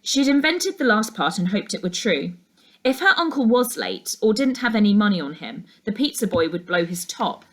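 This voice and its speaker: Female, mid-pitched, expressive and measured story narration, classroom-reader feel. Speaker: teacher reading a class novel aloud.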